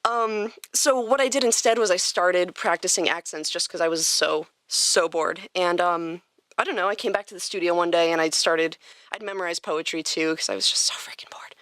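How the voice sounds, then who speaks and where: A woman's voice: lowish voice, moderate pace, fairly bright tone, dry recording. A woman hosting a podcast in casual, playful chat, recorded in a studio. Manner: informal, playful banter. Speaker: podcast host and voice actor.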